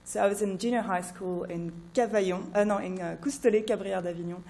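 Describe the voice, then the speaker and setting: A woman's voice: mid-range voice, steady tone, fast, dark tone. A French woman in her thirties, speaking English with a partly British-tinged accent, presenting her research project informally with many fillers and "like". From France.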